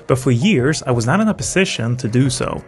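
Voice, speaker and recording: A middle-aged man from Sweden narrating his motorcycle travel vlog in a calm, measured voice-over. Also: medium pitch. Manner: calm, informative, measured delivery. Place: voice-over, not recorded on the road. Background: faint music.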